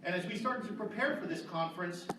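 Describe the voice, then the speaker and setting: A man's voice: high voice, fast. A priest in his fifties moderating a conference panel, speaking earnestly with frequent restarts. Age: 50s. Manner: earnest, with restarts and repeated words. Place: large echoey conference hall. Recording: echoey hall, distant, audible noise.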